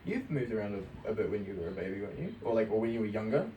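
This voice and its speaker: A man's voice: fairly low pitch, sing-song, very fast, dark tone. A young man from New Zealand and Australia chatting casually, chiming in agreeably with brief remarks. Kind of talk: casual chat. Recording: roomy echo, distant mic, faint noise.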